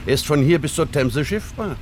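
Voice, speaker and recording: Actor as a lively, dryly witty gentleman husband. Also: on the high side, brisk, background noise, natural voice. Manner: urbane, wry, explanatory. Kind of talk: German radio drama acting.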